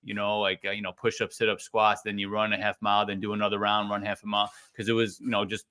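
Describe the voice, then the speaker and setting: A middle-aged man hosts a casual podcast interview with a low but bright, forceful voice. He talks in a relaxed, rambling way. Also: quick pace, clear, resonant tone. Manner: relaxed, rambling, frequent fillers and restarts. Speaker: podcast host.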